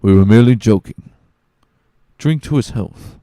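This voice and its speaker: A man's voice: on the low side, normal speed, mellow, dry recording, lightly acted. Man playing a sly, glib scheming villain. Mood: scornful. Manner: calculating, glib. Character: sly, scheming ship's supercargo, smooth manipulative villain.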